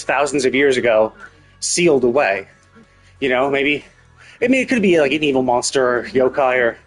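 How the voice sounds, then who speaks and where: Man rambling casually over background music. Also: mid-range voice. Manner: rambling, hesitant, sweary, speculative. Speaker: live game streamer. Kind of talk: casual livestream commentary, thinking aloud.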